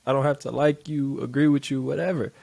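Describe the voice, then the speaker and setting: A man giving casual, blunt reaction commentary on a clip he keeps pausing. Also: medium pitch, flat delivery, vocal fry, darkish tone. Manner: loose, blunt, profane street talk. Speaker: reaction video host.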